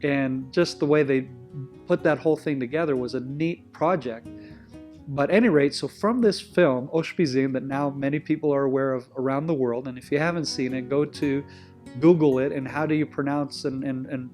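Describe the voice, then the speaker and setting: An Israeli-accented man speaking English, which is not his first language, sits as an interview guest. His pitch sits in the middle for a man, and he tells stories in a loose, rambling way with restarts. Faint music plays underneath him. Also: moderate pace, darkish tone. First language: Hebrew. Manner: rambling, self-correcting, with frequent restarts. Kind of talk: conversational interview storytelling.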